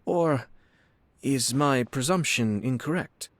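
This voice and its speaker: A man playing a composed young lord in a video game, speaking in a formal, polite, measured manner. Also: medium pitch, no room sound. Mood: courteous. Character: courtly, composed young male lord and commissioner. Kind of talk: video game character dialogue. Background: soft music.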